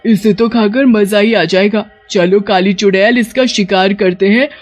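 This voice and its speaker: A woman voicing a sly, hungry female witch in an animated story, gloating and taunting. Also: mid-range voice, a little slow, lightly acted. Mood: predatory glee. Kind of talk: animated Hindi moral story.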